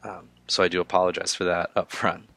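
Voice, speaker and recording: A young man, hoarse from a cold, giving a class presentation with frequent "uh" fillers. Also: low voice, little pitch movement, bright, forceful tone, no room sound. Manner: halting, many filler "uh"s. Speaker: student presenter.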